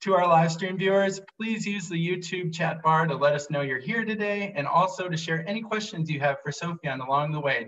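A man's voice: a little high, a bit bright, far from the mic, dull audio. A middle-aged man hosting a livestream, reading a welcoming introduction over a video call. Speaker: host. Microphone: webcam, video call.

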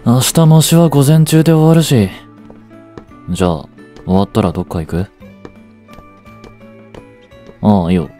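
A man's voice: mid-range voice, fairly even intonation, a little fast, mellow, soft background music, natural voice. A man acting an aloof, dry but secretly fond teenage boyfriend in a romantic voice drama, speaking in a cool, curt, teasing way. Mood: casual, placating. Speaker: voice actor. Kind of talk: romantic situation voice drama, one-sided dialogue.

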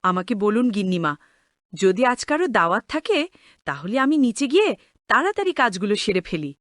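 A woman's voice: medium pitch, big pitch swings, normal speed, no room sound, studio quality, natural voice. A woman voicing a humble, earnest young housemaid in a cartoon story, deferential and emotional. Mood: amazed. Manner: deferential, emotional, wide-eyed.

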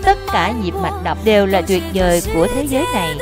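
A woman's voice: mid-range voice, expressive, fairly quick. A woman reading a scripted narration as the narrator of a film. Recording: music behind the voice.

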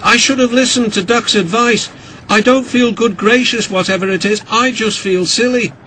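A man's voice: sits high, moderate pace, sharp tone, a little hiss or hum, voice pushed up, low-quality recording. A man voicing a proud, easily huffy young male steam engine in a children's storybook. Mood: sorry, groaning. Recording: music behind the voice.

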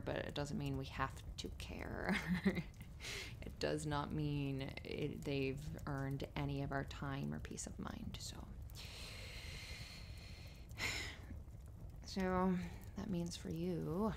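A woman playing an affectionate, playful writer girlfriend in an intimate roleplay, speaking softly and conversationally with giggles and breathy murmurs. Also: low voice, heavily acted. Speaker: audio roleplay performer. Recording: noisy fireplace ambience behind voice. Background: crackling fireplace.

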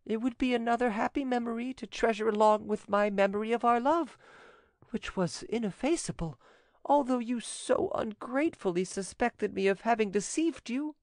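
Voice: mid-range voice, very animated, measured pace, a little mellow, no room sound, studio quality, voice pushed up. A narrator voicing a coquettish, falsely innocent Frenchwoman in audiobook dialogue, with theatrical, sweetly dramatic protestations. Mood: grateful, tender.

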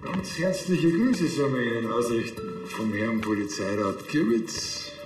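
A blunt Bavarian policeman from a TV crime comedy, grumbling and sardonic. His mid-pitched voice rings in a reverberant room. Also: big pitch swings, unhurried, over music. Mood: casual. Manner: dry, sardonic, colloquial.

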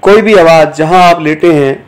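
A man giving guided yoga nidra instruction as a teacher, in measured, deliberate short phrases with pauses between them. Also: on the high side, rough recording. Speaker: yoga teacher guiding a meditation.

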